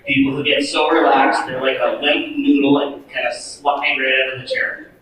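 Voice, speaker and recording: A man's voice: mid-range voice, flat delivery, moderate pace, pushed and bright, a little hiss or hum. A man with a Canadian accent working as a stage hypnotist, playfully coaxing audience volunteers at a live show. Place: large banquet hall, live show. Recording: echoey hall, distant mic, music.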